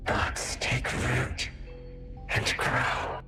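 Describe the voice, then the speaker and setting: A serene, flowery orator from a game. He declaims poetically at an extremely slow pace, with loud music behind him. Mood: serene, dreamy. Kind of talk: video game voice acting.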